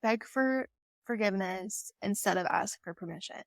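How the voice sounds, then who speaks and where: A woman's voice: mid-range voice, moderate pace, gravelly, no echo, clean studio sound. A woman hosting a podcast, asking questions and affirming her guest in a casual, friendly conversation. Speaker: podcast host, interviewer.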